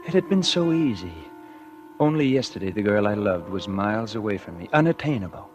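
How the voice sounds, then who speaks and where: Guilty schemer, male, low voice, confessional old-radio suspense delivery, loud music behind. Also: big pitch swings, fairly quick, highs cut off. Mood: smug, confiding. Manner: confessional first-person narration. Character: guilty, scheming man narrating his own murder. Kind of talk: 1940s radio suspense acting.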